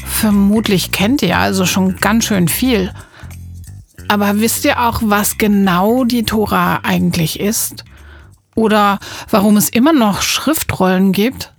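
Female host, scripted intro narration, soft music underneath. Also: mid-range voice. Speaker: podcast host.

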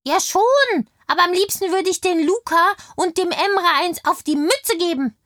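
A woman's voice: very high voice, normal speed, a bit bright, dry recording, clean studio sound. A woman playing a chatty, indignant kindergarten girl in a children's audio play, telling things in childlike everyday words. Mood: unsure, grumbling. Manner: childlike, colloquial storytelling.

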